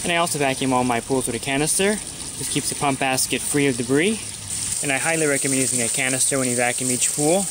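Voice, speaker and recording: A man's voice: medium pitch, quick pace, noisy background. A pool service technician talking through his weekly routine in a plain, matter-of-fact instructional voice-over.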